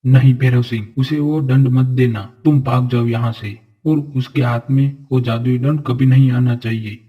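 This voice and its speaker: A man acting a noble, earnest figure of a fairy-tale royal court, speaking formally and solemnly in an animated story. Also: medium pitch, normal speed, breathy edge, muffled recording, lightly acted. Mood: urgent, resolute.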